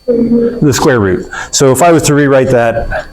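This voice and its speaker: A man's voice: mid-range voice, sing-song, measured pace, darkish tone, rough recording. Casual male physics lecturer. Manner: casual, explanatory, with fillers and self-corrections. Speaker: physics instructor. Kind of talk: classroom lecture. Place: classroom.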